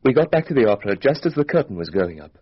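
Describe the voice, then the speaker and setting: An actor playing a young, flippant British aristocrat who is a defensive murder suspect in a radio drama. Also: male voice, medium pitch, very animated, fast talker, husky. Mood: confiding. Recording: dull, band-limited radio drama recording.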